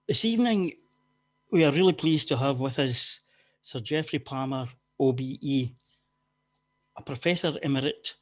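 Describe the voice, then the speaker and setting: A man's voice: medium pitch, fairly bright tone. Man formally opening a webinar lecture. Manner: formal, scripted. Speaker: forum chair, event host. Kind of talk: read introduction. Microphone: video call.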